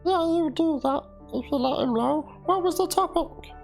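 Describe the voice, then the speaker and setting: A woman with an extremely high-pitched voice reads out written chat replies in a dramatic reading. Faint instrumental music runs behind her. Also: moderate pace, gravelly, darkish tone. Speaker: reader of one side of a chat exchange. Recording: music under the voice. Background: instrumental background music.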